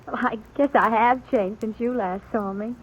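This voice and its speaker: A woman's voice: on the high side, normal speed, husky, highs cut off. An actress playing a headstrong, polite young ranch woman in a radio western, self-possessed and folksy. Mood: bright, coy. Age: young adult. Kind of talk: 1950s radio western acting.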